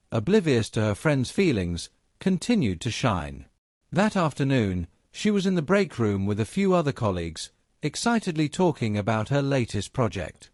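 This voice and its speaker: A man's voice: medium pitch, a little mellow, no room sound, clean studio sound. A man reading a scripted dramatic story as a narrator.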